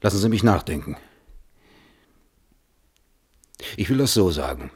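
A man acting as a thoughtful, scrupulous scientist in a German radio play, testifying in a measured, carefully worded way. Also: low voice, lively intonation, a little mellow, breathy edge. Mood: reflective. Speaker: witness under questioning.

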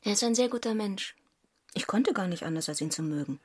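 An actress playing a devoted, composed young wife in a radio drama, speaking in a measured, intimate, reflective way. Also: female voice, mid-range voice, lively intonation, breathy edge. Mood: warm, candid. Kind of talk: literary radio drama, first-person narration and dialogue.

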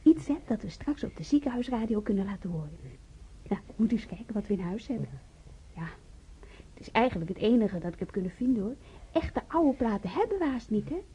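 An actress playing a cheerful, obliging young nurse in a radio drama, chatty and eager. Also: female voice, fairly high pitch, very animated, a little fast, dark tone, a little hiss or hum. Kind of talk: naturalistic radio drama acting. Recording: dull, muffled old radio recording.

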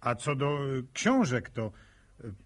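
An actor playing a shy, curious young farmer in a radio drama, with speech that is hesitant, stammering and plain. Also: male voice, medium pitch, very animated, slow. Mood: hesitant, thoughtful. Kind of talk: naturalistic radio drama acting.

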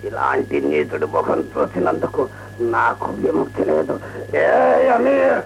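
An actor in a radio play playing a proud, short-tempered old Persian emperor who declaims with indignant pauses. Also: male voice, a bass voice, huge pitch swings, creaky, highs cut off, exaggerated voice. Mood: outraged. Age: older. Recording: radio drama with music.

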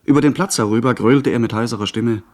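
A man's voice: medium pitch, fast talker, darkish tone, lightly acted. An actor playing a merchant's son who narrates his adventure in scripted radio drama storytelling. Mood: narrating. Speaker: first-person narrator.

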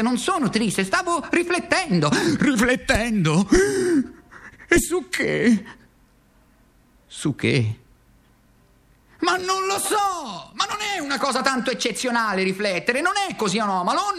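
A male stage actor playing a bitter, worn-out communist in a theatrical radio monologue, impassioned and sarcastic, swinging between muttering and crying out. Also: high voice. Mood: flustered. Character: desperate, bitter, worn-out communist man ranting.

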